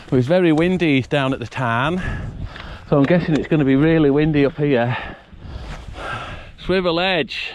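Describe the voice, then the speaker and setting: A man's voice: on the high side, moderate pace, slight background noise. Chesty man vlogging on a mountain. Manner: chatty, thinking aloud, coughs now and then. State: chesty after illness. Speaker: hiker vlogger. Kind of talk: casual outdoor vlog narration. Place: mountain path outdoors.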